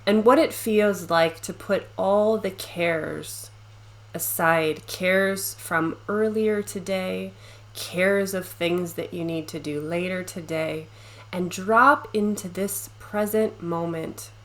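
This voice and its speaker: Very slow, soothing woman guiding meditation. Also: fairly low pitch. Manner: gentle, unhurried, soothing, with long pauses. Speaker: guided meditation teacher. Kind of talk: guided meditation narration.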